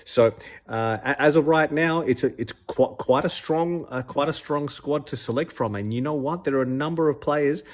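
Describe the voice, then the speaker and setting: A man's voice: a little high, normal speed. A man hosting his own podcast, a little stuffed up with a cold, talking enthusiastically with many restarts and repeated words. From Australia. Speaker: podcast host. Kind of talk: casual solo podcast commentary.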